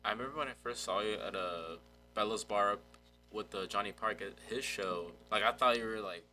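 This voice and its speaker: A man's voice: fairly low pitch, normal speed, pushed and bright, slight background noise. A young man hosting a podcast interview, chatting in an informal, slangy way. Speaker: podcast host. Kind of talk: casual chat.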